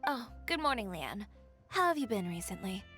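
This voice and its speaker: A woman's voice: mid-range voice, big pitch swings, normal speed, sharp tone, faint music behind. A woman playing a poised young fashion designer in a video game, composed, frank and businesslike. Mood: calm, professional.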